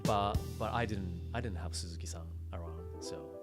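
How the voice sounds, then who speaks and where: A man with a low voice talks casually as a podcast co-host. His delivery is conversational and informal, and music plays clearly behind him. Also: moderate pace. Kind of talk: casual chat about manga.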